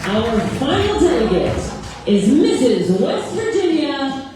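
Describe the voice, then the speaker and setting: A woman emcees a live pageant from a stage, her voice high and swinging widely as she stretches out each name. The sound bounces around a large hall, caught from some distance with music playing underneath. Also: big pitch swings. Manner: enthusiastic, drawn-out announcing. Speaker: pageant host. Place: large indoor event hall. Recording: echoey hall, distant mic, music behind.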